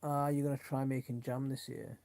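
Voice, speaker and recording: A man's voice: mid-range voice, flat delivery, brisk, creaky, darkish tone. A middle-aged man with a Yorkshire accent chatting casually and informally as the host of a live stream, rambling along and reading out comments in a chummy way. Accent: Yorkshire English. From South Yorkshire, England.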